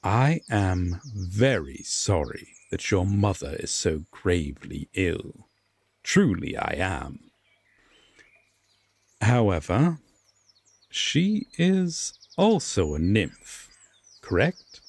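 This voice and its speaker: Man playing a slow, brooding Fae lord. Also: deep voice, big pitch swings, husky, dry recording, full character voice. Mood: relenting, sympathetic. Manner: formal, hesitant, dramatic pauses. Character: brooding, courteous male Fae lord, guarded, reluctantly kind. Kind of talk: roleplay audio drama monologue.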